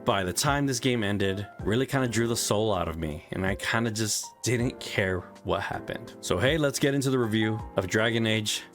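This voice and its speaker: A man's voice: fairly low pitch, normal speed, hardly any pauses, a bit bright, faint music behind. Frank, cursing man reviewing a game. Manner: frank, swears freely. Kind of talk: casual spoken video review.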